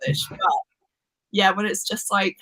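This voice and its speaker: A woman's voice: medium pitch, monotone, normal speed, bright, forceful tone. A young woman from Wales with a light Welsh accent, chatting on a video call as a book channel host, full of little laughs and fillers. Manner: chatty, giggly, lots of fillers. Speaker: booktube host. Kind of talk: casual chat on a live stream.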